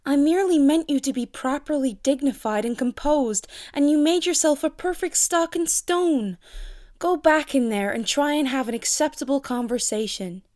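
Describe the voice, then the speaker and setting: Casting: actress as proper, aspiring young sister in a period radio play, notably high-pitched and precise. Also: female voice, normal speed, darkish tone, natural voice. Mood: exasperated. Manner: prim, precise. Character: prim, proper, ambitious young lady sister. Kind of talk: audio drama acting of a period novel.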